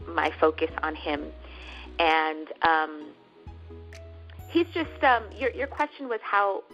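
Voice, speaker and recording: A woman from Northern California chatting as an actress guest in an interview, animated and laughing as she talks, bringing up her faith. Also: low voice, slow pace, highs cut off, faint music behind. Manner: animated, laughing, with religious references. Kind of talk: casual interview chat.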